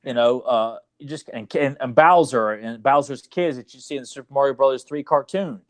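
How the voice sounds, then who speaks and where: A man's voice: medium pitch, brisk. A man co-hosting a podcast, talking casually about movies in a rambling way full of asides. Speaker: podcast co-host.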